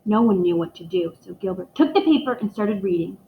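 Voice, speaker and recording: A woman teacher reading a picture book aloud to young children, expressive and speaking to them directly. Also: mid-range voice, brisk. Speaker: elementary school teacher reading aloud to pupils. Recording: echoey room, dull muffled microphone.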